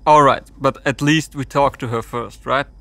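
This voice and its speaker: A man acting a doubting male crewman, creaky voice, medium pitch, reasonable, questioning, audio drama. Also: steady tone, moderate pace, pushed and bright. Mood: reasoning. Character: reasonable, doubting male spaceship crew member.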